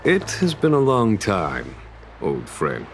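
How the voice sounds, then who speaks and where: An actor playing a courteous, weary general in a video game, speaking in a formal, measured, wistful way. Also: male voice, lowish voice, wildly swooping pitch, faint music behind, full character voice. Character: weary, courteous, calmly authoritative male general of long life. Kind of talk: dramatic video game voice acting.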